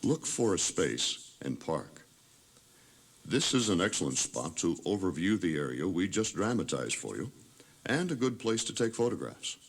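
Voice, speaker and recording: Male tour guide, very low pitch, scripted audio tour narration. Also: big pitch swings, normal speed, vocal fry, husky.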